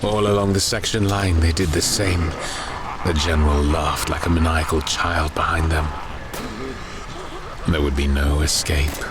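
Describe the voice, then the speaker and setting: Male narrator for grim war fiction: low, grave, very even delivery over clearly audible ambience. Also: measured pace, heavy background noise. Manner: grave, solemn. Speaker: audiobook narrator. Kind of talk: dramatic scripted horror war narration.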